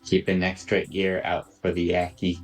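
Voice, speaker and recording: A man's voice: low voice, completely flat delivery, normal speed, faint music behind. A man playing a tabletop game who banters in a goofy, teasing way. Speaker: player.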